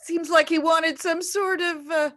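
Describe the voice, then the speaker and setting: A woman's voice: extremely high pitch, steady tone, fairly bright tone. An actress playing a dramatic, teasing, fretful ghost of a mother in a theatrical play reading, drawing out her words. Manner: declaiming, teasing, drawn-out.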